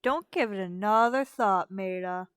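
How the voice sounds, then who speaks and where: A woman's voice: mid-range voice, unhurried, smooth, dry recording, studio quality, natural voice. A woman playing a cheerful, fussy young housewife and family peacemaker in a radio soap drama. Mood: bright, reassuring.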